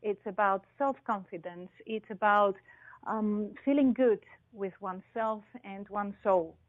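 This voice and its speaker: A woman from Greece, a guest in an interview, talking slowly and thoughtfully with many pauses and fillers. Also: mid-range voice, fairly even intonation, narrow, tinny audio. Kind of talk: interview conversation.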